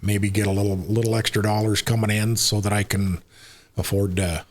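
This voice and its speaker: A man in his sixties with an American accent, chatting casually as a podcast guest in a rambling, unhurried way. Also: on the low side, flat delivery. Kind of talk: casual conversational interview.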